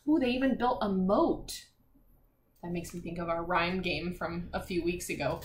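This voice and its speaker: A woman's voice: fairly low pitch, moderate pace. A woman teacher reading a children's picture book aloud, expressively, with encouraging asides and questions to young listeners. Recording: echoey room with faint noise.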